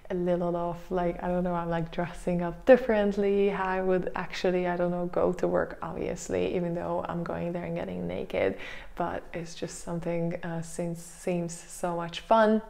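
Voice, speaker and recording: A young woman vlogging casually to camera from her living room, chatty and full of pauses and fillers, on a lapel mic. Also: on the low side, vocal fry, a little mellow. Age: young adult. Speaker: vlogger host. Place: small living room indoors. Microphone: lapel mic clipped to her top.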